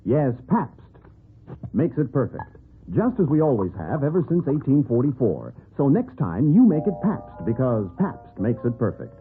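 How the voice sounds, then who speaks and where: A man's voice: mid-range voice, big pitch swings, normal speed. An American man announcing a scripted mid-century radio commercial. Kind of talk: 1950s radio beer ad read. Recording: tinny old radio with loud music.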